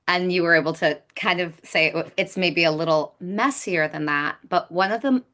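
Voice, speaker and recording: The woman host of a chatty interview podcast, crisp-edged and bright, informally thinking aloud as she frames her questions. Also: lowish voice. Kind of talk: casual conversational interview.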